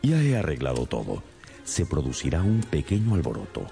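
A man's voice: a bass voice, huge pitch swings, moderate pace, creaky, dark tone, heavily acted. An actor playing a confident, commanding detective in a radio drama, assured and precise as he instructs. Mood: brisk, commanding. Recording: music behind the voice.

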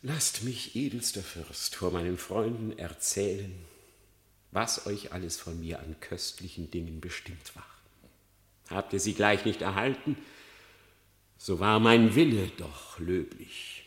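An actor playing a sly, flattering fox who pleads at a royal court in theatrical, wheedling verse for a radio drama. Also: male voice, deep voice, unhurried, reverberant. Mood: flattering, wistful. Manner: theatrical, wheedling, grandly rhetorical. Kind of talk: classic German radio drama verse acting.

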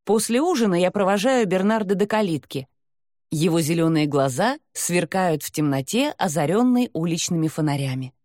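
A woman's voice: lowish voice, expressive, normal speed, darkish tone, no echo, clean studio sound. A woman narrating a novel in the first person, reading expressively with a dramatic touch. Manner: dramatic, acts out dialogue. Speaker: audiobook narrator.

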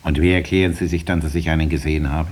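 An actor playing a stubborn, put-upon farmer with dry cheek and a husky voice in a 1950s radio drama. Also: male voice, a bass voice, steady tone, quick pace, a little mellow. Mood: aggrieved.

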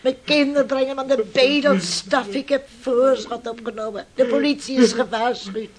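A woman's voice: sits high, normal speed, crowd noise, lightly acted. An actress playing a stern, desperate middle-aged fisherman's mother in a Dutch radio play, by turns bullying and coaxing. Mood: bitter, desperate. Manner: scolding and exclamatory, turning to pleading.

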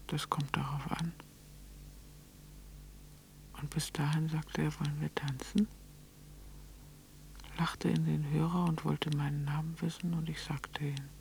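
An actress playing a wistful, lonely telephone operator in a radio drama monologue, hushed, breathy and restrained. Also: female voice, low voice, a little hiss or hum. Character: wistful, lonely telephone operator recalling her one chance.

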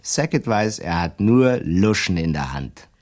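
An actor playing a shrewd, smug, greedy lawyer in a German radio drama, with a theatrical, self-satisfied way of telling things. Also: male voice, low voice, big pitch swings, unhurried, darkish tone. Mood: gloating, amused.

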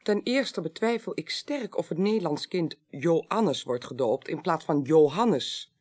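An actress playing a sharp-minded, pedantic court clerk in a radio play, precise, analytical and assured. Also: female voice, sits low, expressive, unhurried, breathy edge, exaggerated voice. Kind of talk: 1980s Dutch radio play acting.

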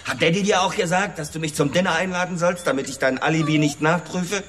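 An actor playing a hard, bitter police detective in a radio drama, questioning in a confrontational, demanding way. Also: male voice, on the high side, fast, fairly bright tone, in a large hall, a little hiss or hum, lightly acted. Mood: bitter anger.

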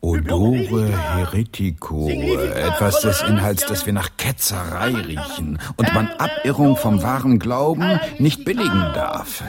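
A man's voice: on the low side, lively intonation, measured pace, few pauses, loud music behind the voice. A man acting in a radio play as a dry, mocking English traveller who interprets for his companions.

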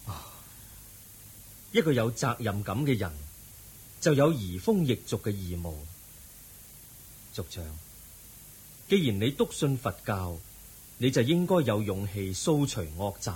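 An actor playing the Buddha as a serene, compassionate teacher in a radio drama, speaking measured, earnest Cantonese with a Hong Kong accent. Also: male voice, fairly low pitch, very animated, a little mellow, breathy edge, full character voice, low-quality recording. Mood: solemn, earnest.

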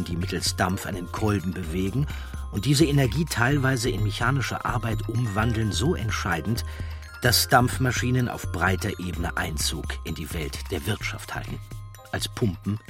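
A man's voice: lowish voice, normal speed, music in the background. A man reading a scripted documentary narration as an audiobook narrator.

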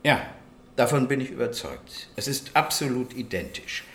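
An actor playing a precise, matter-of-fact forensic doctor in a German radio crime drama, explaining clinically. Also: male voice, medium pitch, very animated, normal speed, fairly bright tone. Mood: clinical, puzzled. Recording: roomy, echoing studio scene.

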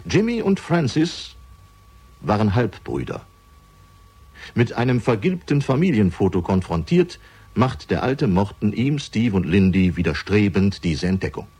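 A man reading scripted narration for a crime radio drama, measured and dramatic, with deliberate pauses. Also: lowish voice, very animated, a little mellow, breathy edge.

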